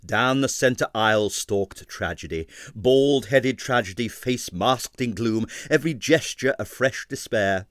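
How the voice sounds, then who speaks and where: A man's voice: medium pitch, unhurried, few pauses, fairly bright tone, no echo, clean studio sound. A man narrating a comic novel as a scripted, dramatic audiobook reading.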